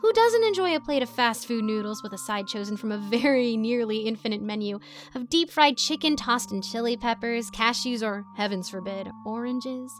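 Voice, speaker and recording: A woman reading a scripted story as its narrator, with an expressive storytelling delivery. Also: fairly high pitch, slight background noise.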